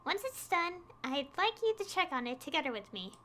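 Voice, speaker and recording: Woman reading as an earnest villager, high bright voice. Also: very animated, brisk, full character voice. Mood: polite request. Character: puzzled, earnest farmer villager man tinkering with homemade fertilizer.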